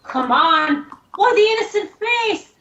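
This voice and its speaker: A woman's voice: very high voice, expressive, slow pace, sharp tone, far from the mic, full character voice. A woman playing an indignant, scornful horse owner in a radio play. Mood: indignant, accusing. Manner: scornful, imperious. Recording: muffled, echoey, off-mic recording.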